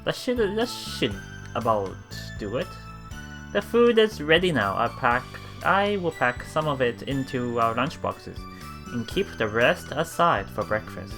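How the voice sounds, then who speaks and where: A man reading visual novel text aloud as a let's play host, with casual commentary and a halting delivery that repeats phrases. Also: mid-range voice, very animated, fairly bright tone. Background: game music.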